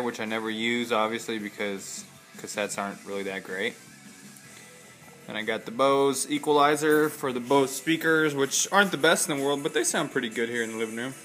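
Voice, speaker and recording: A man's voice: mid-range voice, bright, forceful tone. A man giving a casual, off-the-cuff show-and-tell in his living room, speaking in a rambling, hesitant, informal way. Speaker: hobbyist showing his vintage audio gear.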